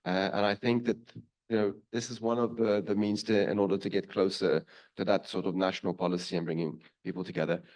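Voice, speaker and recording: A man's voice: fairly low pitch, little pitch movement, brisk, a little mellow. A man moderating a conference panel, summing up questions briefly and thanking speakers politely. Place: conference hall.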